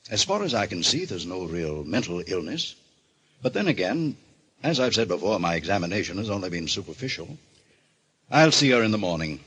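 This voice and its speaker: An actor playing a cautious doctor in an old-time radio drama, speaking in a deliberate, professional manner. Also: male voice, low-pitched, sing-song, a bit bright, husky, full character voice, rough recording. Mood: measured, advising. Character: cautious, measured male doctor.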